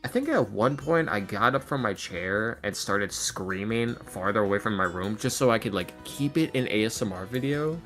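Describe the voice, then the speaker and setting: Low-voiced young man, self-deprecating and animated. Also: moderate pace, few pauses, soft background music. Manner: animated, self-deprecating, exasperated joking, slangy. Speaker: ASMR roleplay audio creator, podcast guest. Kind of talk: casual podcast chat.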